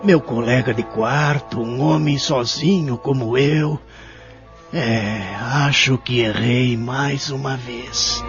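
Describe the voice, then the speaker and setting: A man's voice: mid-range voice, takes it slowly. A Brazilian man playing a lonely, remorseful elderly care-home resident who narrates his story in an audio drama, somber and reflective. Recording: dull lo-fi audio with music behind. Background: background music.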